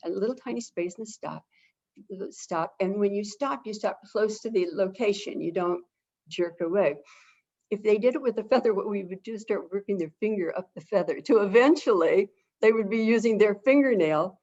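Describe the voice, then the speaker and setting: Calm, gentle woman on a dull line. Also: medium pitch. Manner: calm, gentle, repeats phrases for emphasis. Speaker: avian behavior expert, webinar guest. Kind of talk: casual explanatory talk. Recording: dull lo-fi webinar audio.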